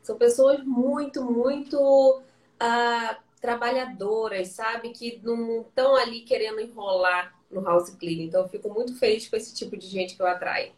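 A woman's voice: a little high, fairly even intonation, normal speed, a little mellow, roomy sound, recorded from a distance. A woman hosting a casual live-stream interview, chatty and encouraging, asking friendly questions.

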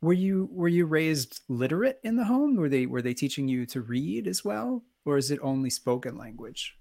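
A man's voice: on the high side, very animated, normal speed, vocal fry, dark tone. A man co-hosting a casual interview, asking curious questions with frequent stammered restarts. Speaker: podcast co-host.

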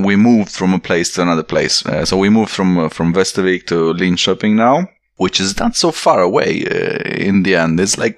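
A young man from Romania with a Romanian accent, chatting casually and laughing often, his pitch leaping up when he acts out quotes. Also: vocal fry, no echo, studio quality. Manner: animated, laughs often, jumps high when acting out quotes. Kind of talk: casual chat.

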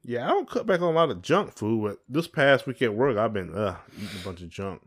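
A man's voice: medium pitch, expressive, fairly quick, breathy edge. A man hosting a casual podcast chat, talking in an informal, colloquial way. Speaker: podcast host.

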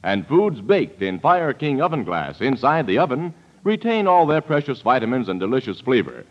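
A male announcer in the 1940s radio advertising style. He delivers an emphatic scripted pitch with lively intonation, on a muffled old recording. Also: normal speed. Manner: emphatic, precisely phrased sales pitch.

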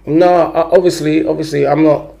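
A man's voice: a little high, level tone, moderate pace, vocal fry, warm tone. A man with a London accent, answering interview questions in a laid-back way, full of street slang and repeated "innit". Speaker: guest rapper.

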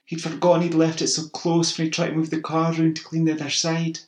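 A man voicing an older woman, a retired nurse and fussing neighbour, in a high, strained voice. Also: completely flat delivery, fast talker, a little mellow, natural voice. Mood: soothing. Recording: roomy echo.

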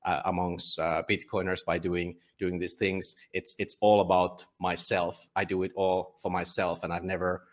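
A Finnish man, a publisher and guest, talking casually in a conversational interview, often halting and restarting his sentences. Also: low voice, level tone. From Finland. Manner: halting, many restarts and fillers.

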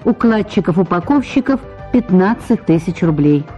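A woman's voice: fairly low pitch, animated, normal speed, dark tone, muffled recording, soft background music. A woman radio announcer reading a scripted job advertisement.

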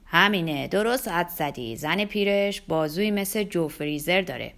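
A woman's voice: on the low side, animated, fairly bright tone. A woman voicing a rowdy, bantering man drinking in a bar, in a story.

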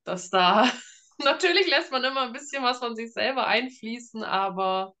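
A woman's voice: a little high, lively intonation, moderate pace, sharp tone. A woman in her thirties from Germany, an author in a casual interview, often laughing as she talks. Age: 30s. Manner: giggly, often laughs while talking.

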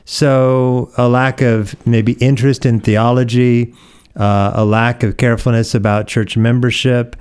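A middle-aged American man from Oregon, a pastor being interviewed, explaining things conversationally with thoughtful, measured pauses. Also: mid-range voice, flat delivery, a little mellow, clear, resonant tone. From Oregon, United States.

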